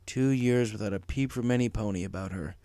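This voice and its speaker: A man's voice: warm tone, dry recording, natural voice. A man voicing a weary, subdued older male pony boss and father who mutters, in a storybook reading. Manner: low, muttering, slow.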